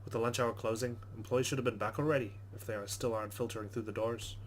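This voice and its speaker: A man's voice: medium pitch, fairly even intonation, quick pace, slight background noise. A man hosting a let's play, reading game narration aloud with casual commentary.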